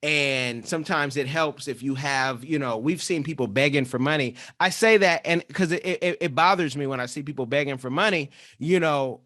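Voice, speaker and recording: A man with a bright, somewhat high voice hosts a casual podcast interview. He talks in a halting way, restarting his phrases and filling gaps with "um" and "you know.". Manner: hesitant, restarts often, many fillers. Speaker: podcast host.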